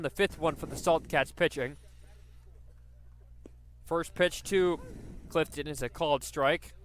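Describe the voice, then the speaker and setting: A man's voice: a little high, fairly bright tone, slight background noise. A man doing live radio play-by-play commentary of a baseball game.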